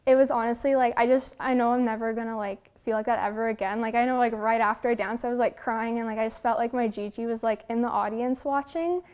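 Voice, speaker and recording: A woman's voice: fairly high pitch, fairly even intonation, fast talker, hardly any pauses, a little mellow, clear, resonant tone. Young woman, gushing filler-heavy talk. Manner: gushing, many "like" fillers, restarts. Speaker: dancer. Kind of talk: interview answer.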